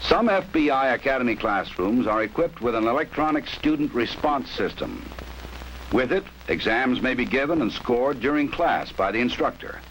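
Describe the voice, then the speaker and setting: An American man narrates in a formal, measured instructional style. His voice sits at a middle pitch. The soundtrack is that of a 1960s training film, with faint noise. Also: expressive, a bit bright, poor audio. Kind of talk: scripted documentary narration.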